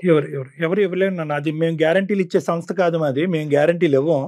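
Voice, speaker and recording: A middle-aged man counsels on a family dispute show. His voice sits fairly high and moves quickly. He explains his points at length, slipping English words in. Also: a little mellow. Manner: measured, explanatory, mixes English words into advice.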